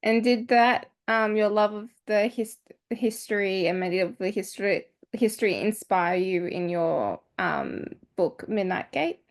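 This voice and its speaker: A woman's voice: medium pitch, a little slow, creaky, few pauses. A woman hosting an author interview, asking casual questions in a friendly way. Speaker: interview host.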